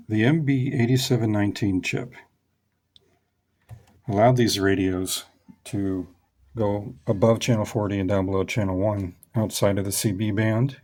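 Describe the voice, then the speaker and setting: Middle-aged man, low pitch, casual hobbyist explainer, measured phrasing. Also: a little mellow. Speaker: radio hobbyist presenter.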